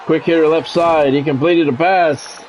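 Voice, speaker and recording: A man's voice: fairly high pitch, fairly even intonation, normal speed, darkish tone, a little hiss or hum. An American man doing play-by-play commentary on a football game in short, clipped announcer phrases. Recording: dull lo-fi sound, music behind.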